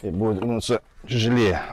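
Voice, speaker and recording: Low-voiced man explaining roofing work. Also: measured pace, heavy background noise. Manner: thinks aloud while working, calm and explanatory. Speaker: roofing craftsman. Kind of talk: hands-on work demonstration. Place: outdoors in a sunny yard beside a house wall.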